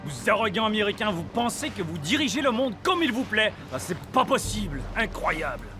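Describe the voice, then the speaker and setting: An actor playing a proud, indignant French army commandant in a game cutscene, with a French accent and a haughty, excitable manner. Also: male voice, high voice, brisk. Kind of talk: dramatic game cutscene acting. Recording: music playing behind dialogue.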